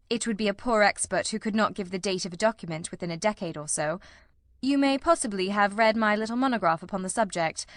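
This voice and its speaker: A woman voicing a shrewd, self-assured male detective in an audiobook, with a brisk, precise and dryly witty manner. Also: medium pitch, a bit bright, no echo, lightly acted. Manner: brisk, precise, dry, commanding. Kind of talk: audiobook character voice.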